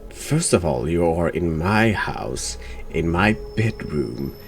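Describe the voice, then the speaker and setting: Very deep, creaky, slow-spoken man. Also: lively intonation, slight background noise. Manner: hushed, slow, often slipping into whisper. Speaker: horror game streamer, narrator. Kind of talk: ASMR horror game read-aloud.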